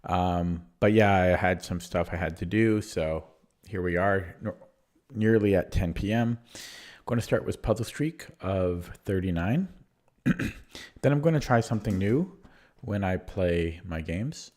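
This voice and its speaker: A man with a low, deep voice sounds hoarse and keeps clearing his throat. He streams chess live and mutters his way through moves. His talk is casual and unhurried. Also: darkish tone. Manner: thinks aloud while playing, muttering over moves.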